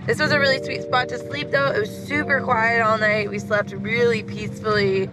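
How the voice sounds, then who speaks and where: A woman's voice: fairly high pitch, fairly even intonation, normal speed, over music. A young adult woman, chatting casually and narrating as a travel vlogger. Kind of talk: casual vlog chat and narration. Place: inside a camper van and outdoors.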